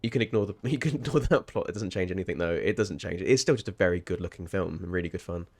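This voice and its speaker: A man's voice: deep voice, fast talker, gravelly. A man chatting casually while streaming a game, rambling and sweary, with sudden startled outbursts. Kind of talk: casual livestream chat.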